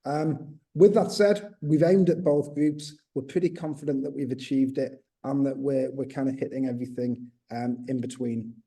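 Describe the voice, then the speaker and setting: A man gives a webinar talk over a video call, his voice mellow and dark. He explains at length, circling back with fillers and restarts, and carries a slight accent. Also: mid-range voice, steady tone, normal speed, few pauses. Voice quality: says he has a slight accent. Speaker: textbook co-author. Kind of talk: webinar presentation.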